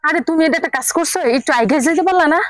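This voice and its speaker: High, fast-talking bossy village woman, played by an actress. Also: dry recording, clean studio sound. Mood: impatient, nagging. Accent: Bangladeshi regional. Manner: nagging, blunt. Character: bossy, sharp-tongued village woman, scolding and impatient.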